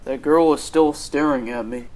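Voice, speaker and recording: A man's voice: medium pitch, normal speed, very noisy, lightly acted. A young man acting in a radio drama as a defensive, troubled young company executive. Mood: distressed. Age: teenager.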